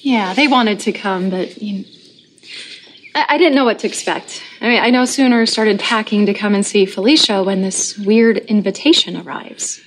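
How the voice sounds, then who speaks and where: A woman acting as a wry adult daughter in a TV soap. Her voice is medium-pitched, and the drama clip has clear background noise with clicks. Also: moderate pace, natural voice. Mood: casual. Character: wry grown daughter in a soap opera family.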